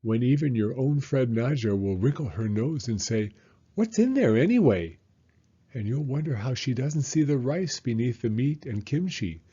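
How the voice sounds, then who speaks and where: A man reading a children's picture book aloud as a story time host, with expressive, unhurried narration. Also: mid-range voice, husky, highs cut off.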